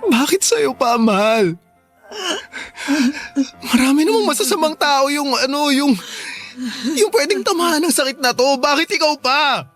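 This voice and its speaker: A man's voice: very high-pitched, animated, moderate pace, long pauses, a bit bright, soft background music. A man acting a devoted, distraught husband and father in a Filipino radio drama, pleading emotionally and stammering. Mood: anguished, crying. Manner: emotional, stammering, repeats himself.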